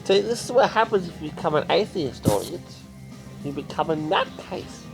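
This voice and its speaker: A man's voice: a little high, expressive, normal speed, vocal fry, over music, rough recording. A man making teasing, casual banter as a co-host. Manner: teasing, needling.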